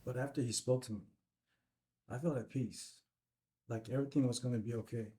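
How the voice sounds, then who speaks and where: A man's voice: medium pitch, steady tone, brisk, mellow, natural voice. A man playing a folksy, plainspoken man earnestly recalling a haunting night in a table read. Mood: earnest, reflective.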